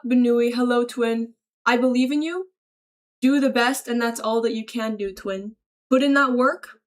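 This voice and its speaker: A woman livestreamer casually reading and answering viewer messages aloud in a slangy, chummy, thankful way. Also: fairly high pitch. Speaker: livestreamer greeting chat.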